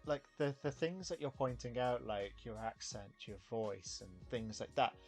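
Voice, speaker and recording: A man's voice: medium pitch, animated, normal speed, faint music behind. A British man with a British accent and a slight regional twang, hosting a casual chat, thoughtful and rambling, at times a little nasal. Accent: British, neutral standard with a regional twang. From Britain. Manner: thoughtful, rambling, says he can sound nasal. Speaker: podcast host. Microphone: desk microphone.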